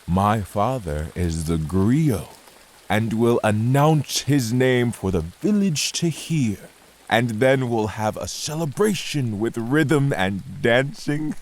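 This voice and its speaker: An actor playing a friendly West African village drummer and storyteller's son, gracious, measured and welcoming, in an audio drama. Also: male voice, mid-range voice, lively intonation.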